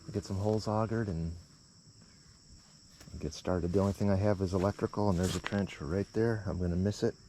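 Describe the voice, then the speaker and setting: A man's voice: sits low, fairly even intonation, brisk. A man talking casually and plainly with folksy phrasing, like a contractor narrating his work on a job-site vlog outdoors. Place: outdoors at a garage building site. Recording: noisy outdoor recording with insects.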